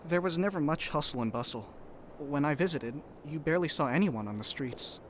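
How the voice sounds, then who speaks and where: An actor playing a loyal young crewman in an audio drama, speaking in a measured, earnest, reassuring way. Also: on the high side, expressive, a little hiss or hum, lightly acted.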